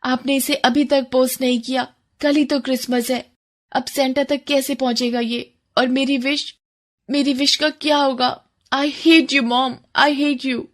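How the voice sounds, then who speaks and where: A very high-pitched, spoiled little girl, played by a woman in a cartoon moral story. She is petulant and haughty, always complaining, with a demanding edge to the way she talks. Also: level tone, measured pace. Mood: angry, whining.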